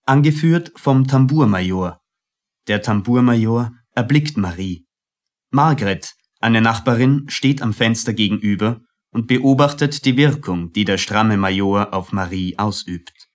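A man's voice: mid-range voice, measured pace. Male narrator for a radio drama, mid-range voice, scripted storytelling delivery.

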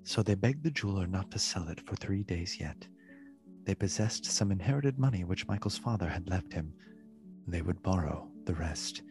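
A man narrating a literary radio play in a solemn, measured, dramatic way, with a husky voice. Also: deep voice, animated. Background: music.

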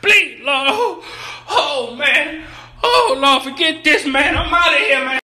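A man voicing an excitable, exasperated complainer in an exclamatory comedy voice-over. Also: very high-pitched, takes it slowly, reverberant, exaggerated voice, rough recording. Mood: panicked.

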